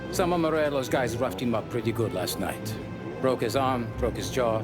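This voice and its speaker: A man acting an American mafia consigliere in a crime game. His mid-pitched voice is matter-of-fact and firm, giving orders with quiet authority over music. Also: normal speed, natural voice. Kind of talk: cinematic game dialogue.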